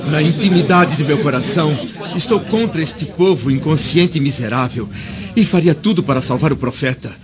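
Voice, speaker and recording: An actor playing a proud, troubled Roman senator in a radio drama, speaking formally like an orator. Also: male voice, fairly high pitch, moderate pace, a crowd in the background, natural voice. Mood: troubled, disdainful. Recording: dull recording with faint noise.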